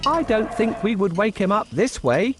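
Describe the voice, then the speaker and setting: A man voicing a clever, boastful talking cat in a theatrical, cocky way for a children's animated storybook. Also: sits high, normal speed. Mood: scheming. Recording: music behind the voice. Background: music.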